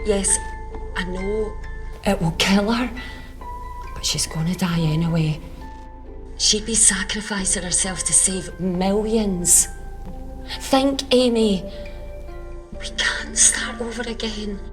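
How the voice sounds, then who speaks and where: A woman's voice: medium pitch, slow pace, pauses often, faint music behind, lightly acted. A woman acting a cold, calculating, ruthless female boss in a naturalistic interactive film drama. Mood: cold, pressing.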